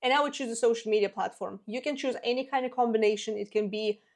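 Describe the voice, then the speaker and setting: A woman's voice: a little high, quick pace, a little mellow. A woman in her twenties whose first language is Russian, hosting a solo business advice show in a direct, practical way. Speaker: business podcast host.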